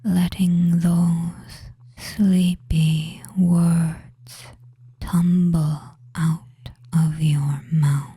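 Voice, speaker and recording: Man acting as femboy witch hypnotist, breathy whisper, extremely slow, soothing, possessive, ASMR audio roleplay. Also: on the high side, flat delivery, warm tone, dry recording, full character voice. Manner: very slow, lulling, murmured commands with long pauses.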